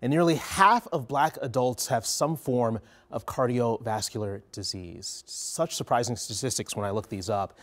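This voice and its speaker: A man's voice: medium pitch, normal speed. Upbeat male TV news anchor. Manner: upbeat, conversational, emphatic. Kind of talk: studio news presenting and chat. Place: TV news studio. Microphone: lapel mic.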